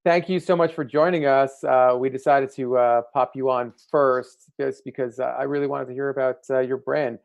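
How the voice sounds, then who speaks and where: A man in his thirties with an American accent hosts a casual interview show over a video call. His voice is medium in pitch with a lot of creak. The sound is dry, picked up by an earbud mic. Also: fairly even intonation, moderate pace, hardly any pauses, no room sound, dull audio. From United States. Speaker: livestream host. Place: indoor room. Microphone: earbud headset on video call.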